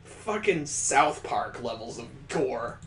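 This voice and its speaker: A man's voice: mid-range voice, very animated, slow pace, a bit bright, slight background noise. A man hosting a let's play of a visual novel, commenting with exasperated, sardonic asides. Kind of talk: live game commentary mixed with reading visual novel text aloud. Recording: roomy, echoing sound.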